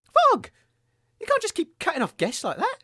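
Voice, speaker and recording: Chirpy, gullible co-host; very animated pitch, dry studio read. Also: male voice, moderate pace, no room sound. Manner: chirpy, naive, excitable. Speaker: radio comedy co-host. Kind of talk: scripted comedy sketch.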